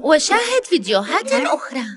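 A woman's voice: high-pitched, big pitch swings, a little fast, a crowd in the background. A woman narrating a children's learning cartoon, exclaiming and asking questions as she talks to the character and young viewers.

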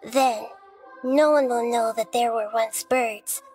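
High-voiced, solemn little goddess girl. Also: fairly even intonation, darkish tone, faint music behind. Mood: solemn storytelling. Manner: measured, solemn, storytelling. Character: wise, gentle, childlike goddess girl. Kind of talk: scripted video game dialogue.

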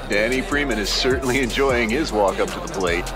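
An actor playing a genial television baseball commentator in an audio drama. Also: male voice, mid-range voice, brisk, over music, natural voice. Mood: genial, sympathetic. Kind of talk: sports commentary.

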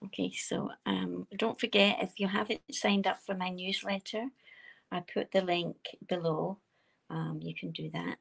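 A woman with a quiet voice, chatting and explaining each step as she demonstrates card making at her craft desk. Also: on the low side, fairly even intonation, measured pace, vocal fry, breathy edge. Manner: chatty, explaining steps while working.

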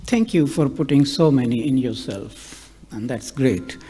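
A middle-aged man interviewing an author on a festival stage, asking formal, halting questions into a handheld mic. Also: mid-range voice, animated, mellow, slightly rough. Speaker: interviewer, academic moderator. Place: large stage hall at a festival. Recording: long reverb of a hall.

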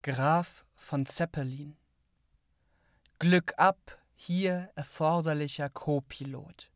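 A poet delivers spoken-word verse slowly and deliberately, setting each phrase apart. The voice is clean and smooth, captured very dry and close, with measured pauses. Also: mid-range voice, steady tone, no echo. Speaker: poet reading own poems. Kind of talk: literary poetry reading, spoken word.

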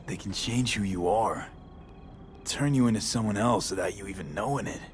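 A man's voice: on the low side, normal speed, a little hiss or hum, close to an everyday voice. An actor playing a tough, wry young male mercenary in a video game, blunt and slangy. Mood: calm.

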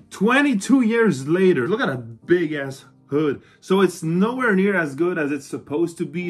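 Male vlogger, thirties, husky, fairly high voice; casual, enthusiastic, sweary show-and-tell. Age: 30s. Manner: excited, swearing, informal. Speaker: vlogger, horror collector. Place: small cluttered room indoors.

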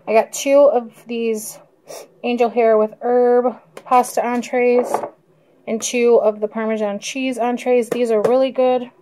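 A woman's voice: on the high side, noisy background. A young woman chatting casually through a grocery haul vlog, enthusiastic about the food she shows, speaking into a handheld camera in her car and later in her kitchen. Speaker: vlogger. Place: parked car, then kitchen.

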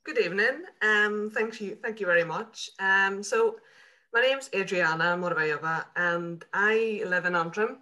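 A woman's voice: mid-range voice, measured pace, bright, forceful tone. A woman in her thirties from Slovakia, a native Hungarian speaker, introducing herself in a friendly way from her living room on a video call. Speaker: panelist. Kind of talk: panel self-introduction. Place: home living room, indoors.

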